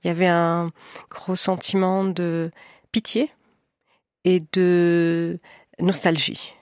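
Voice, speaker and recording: A woman's voice: lowish voice, flat delivery, slow pace, darkish tone, clean tone, dry recording. A woman from France and Switzerland, an artist guest in a studio interview, speaking thoughtfully and searching for words. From born in France, raised in Switzerland.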